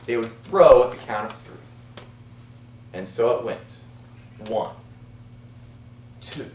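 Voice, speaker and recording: A man telling a tall tale to an audience as an amateur contest speaker, with dramatic, deliberate delivery and emphatic pauses. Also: medium pitch, slight background noise. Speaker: amateur storyteller in a speech contest. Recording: roomy, distant, muffled club-room recording.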